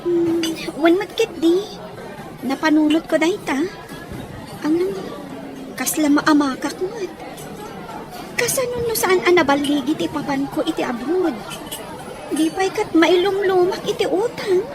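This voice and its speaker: A woman's voice: very high-pitched, unhurried, long pauses, music in the background, a crowd in the background. A woman playing an ambitious, poor teenage Filipina with an Ilocano accent who dreams of a better life, in an audio drama. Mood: determined, worried. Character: ambitious poor young Filipina, sixteen, dreaming of marrying a foreigner.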